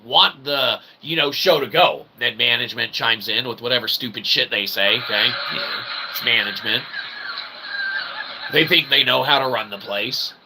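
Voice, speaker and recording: A man hosting an online show, delivering a rambling, wordy, mock-formal comic monologue with animated bursts. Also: mid-range voice, normal speed, slight background noise, rough recording. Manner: mock-formal, wordy phrasing with frequent check-in tags. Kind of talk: rambling comedic monologue to a livestream chat.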